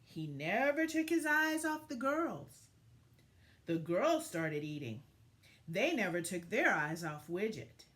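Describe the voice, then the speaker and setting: A woman teacher giving an expressive read-aloud lesson for young children, with deliberate, dramatic phrasing. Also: mid-range voice.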